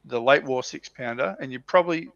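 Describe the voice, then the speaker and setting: A man's voice: mid-range voice, a little fast, creaky, a bit bright, dry recording, dull audio. A man co-hosting a livestream, chatting casually about tabletop miniature wargaming. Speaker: livestream co-host.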